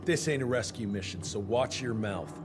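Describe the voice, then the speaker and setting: An actor playing a gruff, blunt, hot-tempered gunslinger in a video game, speaking curtly and confrontationally. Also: male voice, lowish voice, animated, normal speed, noisy background, natural voice. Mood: stern. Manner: curt, confrontational, insulting. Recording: game soundtrack music behind.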